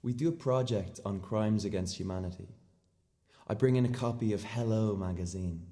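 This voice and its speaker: An actor playing a naive, earnest seventeen-year-old schoolboy in a radio play monologue, telling his story in clipped, deadpan fragments. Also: lowish voice, normal speed, natural voice. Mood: bewildered. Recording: long hall-like reverb.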